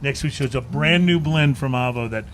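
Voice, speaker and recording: A man's voice: medium pitch, a little fast, a bit bright, slight background noise. Affable man hosting a chat show. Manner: affable, frequent agreeing interjections. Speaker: podcast host. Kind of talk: casual chat. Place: bar, live show.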